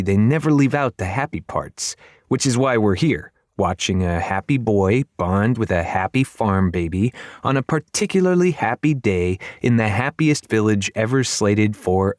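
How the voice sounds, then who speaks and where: A man's voice: lowish voice, a little mellow. A man narrating a children's fantasy audiobook, wry and conversational, speaking straight to the listener. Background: music.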